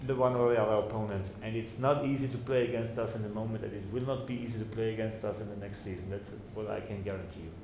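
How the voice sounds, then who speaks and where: A football manager talking to fans on a panel, earnest and deliberate, repeating words for emphasis. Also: male voice, fairly low pitch, steady tone, darkish tone, echoey room, slight background noise.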